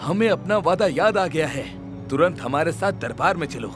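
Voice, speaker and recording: Regal, demanding cartoon emperor, played by a man, fairly high voice, music bed behind. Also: animated, close to an everyday voice. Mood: pleased. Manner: commanding, quick to demand answers. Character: regal impatient middle-aged male emperor. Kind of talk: animated storybook voice acting. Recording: background music under voice.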